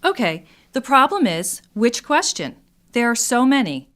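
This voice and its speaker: A woman's voice: a little high, sing-song, unhurried, a bit bright. A woman playing an inquisitive, easily exasperated amateur philosopher in scripted comedic radio theatre. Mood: musing.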